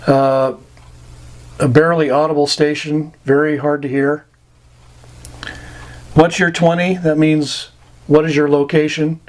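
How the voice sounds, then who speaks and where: An American man giving an informal instructional talk, plain-spoken and pausing between short phrases. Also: on the high side, level tone, a little mellow. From United States. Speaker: amateur radio instructor. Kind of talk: informal slide lesson explaining radio slang.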